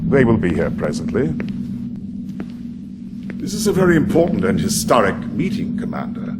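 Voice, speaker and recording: A middle-aged actor playing a grave, theatrical military advisor to a noble house, speaking formally and portentously. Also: male voice, medium pitch, wildly swooping pitch, moderate pace. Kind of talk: scripted dramatic game cutscene acting. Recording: noisy game cutscene audio.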